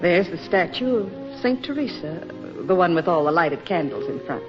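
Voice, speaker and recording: An actress playing a motherly, gently consoling older female friend in a 1940s radio drama, speaking expressively. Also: fairly low pitch, lively intonation, normal speed, close to an everyday voice. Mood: kindly. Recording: muffled vintage radio recording with music.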